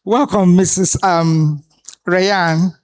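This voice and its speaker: A woman, moderating a live conference panel and introducing the speakers in a deliberate, authoritative way. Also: on the low side, fairly even intonation. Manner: deliberate, authoritative delivery with pauses. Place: conference hall stage.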